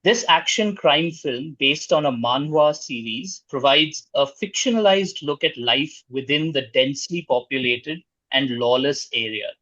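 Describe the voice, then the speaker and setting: A man hosting a quiz, reading out questions in a formal, measured way. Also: on the high side, lively intonation, bright, forceful tone, dry recording, dull audio.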